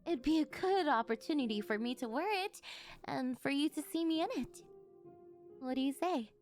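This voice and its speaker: A rich, obsessive older girlfriend in an anime-style ASMR roleplay, acted by a woman. Her voice is very high and swings animatedly as she coos and gushes at her beloved. She is clingy and over-eager, with faint music behind her. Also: expressive, moderate pace, darkish tone. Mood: hopeful, coaxing. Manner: gushing, cooing, over-eager, flustered denials. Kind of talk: ASMR roleplay acting addressed to the listener.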